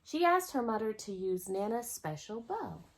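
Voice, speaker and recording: A woman with a fairly high, very animated voice reads a picture book to children. She hosts the storytime and talks straight to her young audience, often in an expressive way. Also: normal speed. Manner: expressive, addresses children directly with questions. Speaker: host reading a children's picture book aloud. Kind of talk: storytime read-aloud with asides to young viewers.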